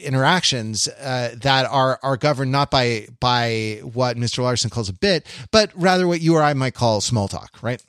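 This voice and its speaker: A man co-hosting a podcast in casual conversation, speaking haltingly and often repeating his phrases as he goes. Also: mid-range voice, fairly bright tone, smooth, no echo, clean studio sound. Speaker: podcast co-host.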